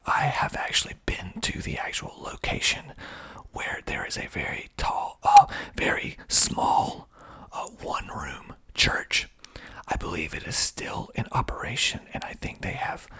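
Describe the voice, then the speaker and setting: Guy from the southern us doing asmr, slow hushed whisper, conversational, crisp bright edge. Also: low-pitched. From southern United States (Georgia). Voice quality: breathy whisper. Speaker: filmmaker hosting his own channel.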